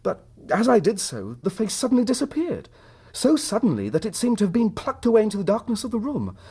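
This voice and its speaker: A man's voice: high-pitched, huge pitch swings, a little fast, dark tone, husky, heavily acted. An actor playing an earnest, troubled middle-class English husband telling his story in formal period language for a radio drama. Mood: agitated, uneasy.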